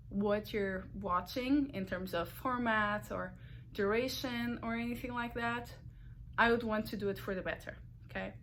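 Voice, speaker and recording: A young woman with a Portuguese accent chats slowly and casually to the camera as she hosts her knitting video. Her pitch is moderate, and she takes her time, with fillers and small self-corrections. A faint hum of room noise sits behind her. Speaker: knitting podcast host.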